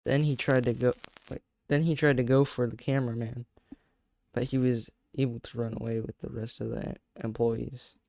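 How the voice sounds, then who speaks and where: A man's voice: medium pitch, flat delivery, normal speed, creaky, a little mellow, no echo. A man reading a horror story aloud in a casual, stumbling way, breaking off to react. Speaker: video creator reacting and narrating.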